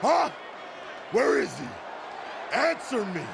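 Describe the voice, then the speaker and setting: A man's voice: very high-pitched, huge pitch swings, slow pace, a crowd in the background, exaggerated voice. A man playing a furious, threatening male wrestling heavyweight in a video game, speaking in commanding, emphatic promo style. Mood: furious, demanding. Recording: clear background noise.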